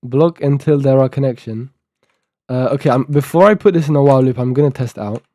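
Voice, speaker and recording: A man's voice: medium pitch, mellow, no echo, studio quality. Eighteen-year-old man mumbling through code. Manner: mumbling, thinking aloud, reading docs half to himself. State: sore throat. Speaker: live-coding streamer. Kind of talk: casual live-stream talk while coding.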